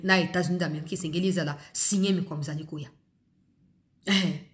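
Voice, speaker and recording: A woman's voice: mid-range voice, a bit bright. Congolese lady doing political news commentary, mid-range voice, emphatic, repeats stuff for stress, flips between two languages. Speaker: host of an online political news commentary show. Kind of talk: opinionated political commentary, speaking directly to viewers.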